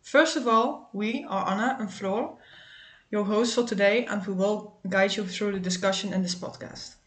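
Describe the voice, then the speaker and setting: A woman's voice: mid-range voice, moderate pace. Young woman reading a host script. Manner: reads formally from a script. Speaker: podcast host. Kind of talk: scripted student podcast hosting. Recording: roomy, faint background noise.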